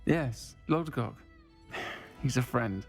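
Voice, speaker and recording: An actor voicing a friendly, frank witch who runs a village tavern in a video game, with a creaky voice and music underneath. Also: medium pitch, animated, extremely slow. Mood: reflective, candid. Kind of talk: natural video game cutscene dialogue.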